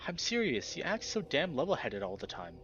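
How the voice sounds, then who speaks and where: A man voicing a young male wolf from a visual novel, an earnest, rough-edged friend who talks in emphatic slang. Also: on the high side, wildly swooping pitch, brisk, fairly bright tone, pitched above the performer's own voice. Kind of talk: visual novel character read-aloud. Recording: slightly dull recording with background music.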